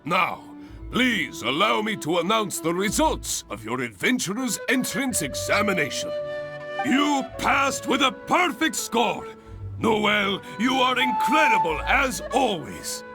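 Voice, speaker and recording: An actor playing a theatrical, enthusiastic adventurers guild master in a video game, with a grandiose and effusive delivery. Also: male voice, high-pitched, very animated, unhurried, music in the background, heavily acted. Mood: pleased, proud. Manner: grandiose, effusive, pleading persuasively. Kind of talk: video game character acting.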